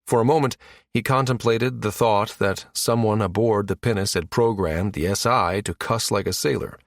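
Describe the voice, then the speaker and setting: Brief: male narrator for sci-fi audiobook, lower register, very dry studio-tight sound. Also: normal speed, no echo. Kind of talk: scripted narration of a science fiction story.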